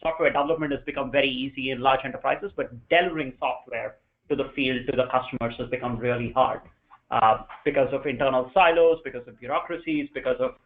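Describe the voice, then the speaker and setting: A man's voice: mid-range voice, steady tone, quick pace. A man giving an informal live talk as a guest speaker, with emphatic, repetitive phrasing. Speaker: guest speaker, DevOps consultant. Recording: tinny, phone-like narrow band.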